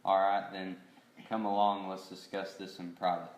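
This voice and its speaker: Man acting a low, flat-voiced undertaker. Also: moderate pace, natural voice. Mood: brisk, formal. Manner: formal, matter-of-fact. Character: businesslike, tactless male undertaker. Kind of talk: amateur scripted film dubbing. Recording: echoey room.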